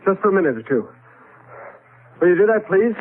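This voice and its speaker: Frantic husband on tinny old radio. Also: male voice, sits high, sing-song, a little fast, noisy background. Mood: angry, frantic. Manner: urgent, insistent, emphatic. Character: desperate, frantic husband trapped in the past. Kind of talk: 1970s radio drama acting.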